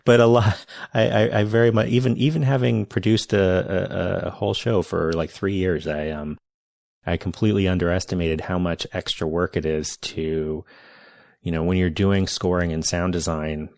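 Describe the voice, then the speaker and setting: A man's voice: sits low, steady tone, normal speed, gravelly, a little mellow, no room sound, clean studio sound. A man hosting a podcast interview, enthusiastic and searching for words with frequent restarts. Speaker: podcast host. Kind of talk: casual interview.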